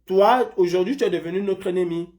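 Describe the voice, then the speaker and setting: A man's voice: sits high, a little fast, a little mellow. A man from Senegal hosting a live stream, arguing with a caller and lecturing him insistently, repeating his points. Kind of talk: heated live call-in debate.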